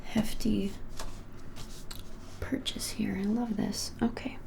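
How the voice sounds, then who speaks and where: A woman in her twenties whispering an ASMR roleplay as a shop clerk, gently and hesitantly, with many pauses. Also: mid-range voice, fairly even intonation. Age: 20s. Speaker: ASMR creator playing a witch shop clerk. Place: plain small indoor room. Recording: clearly hissy background noise.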